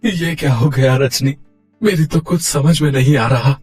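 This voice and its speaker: A man's voice: medium pitch, normal speed, darkish tone, soft background music, close to an everyday voice. A man voicing a humble, worried poor shopkeeper and family man in a moral storybook tale. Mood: worried despair.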